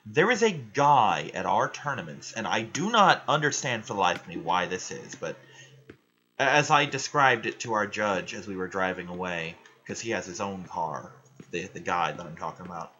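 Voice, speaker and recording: A young man ranting loudly and swearing in casual chat while he plays a video game. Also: low voice, lively intonation, normal speed, lo-fi sound. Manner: loud, ranting, frequent swearing. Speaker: gaming vlogger.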